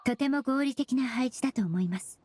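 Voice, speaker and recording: Polite, analytical young woman, highish voice. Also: animated, a little mellow, slightly rough, no room sound. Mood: calm, thoughtful. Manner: polite formal speech, measured reasoning. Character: polite, composed, analytical young female perfumer. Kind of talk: anime game voice acting. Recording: background music under the voice.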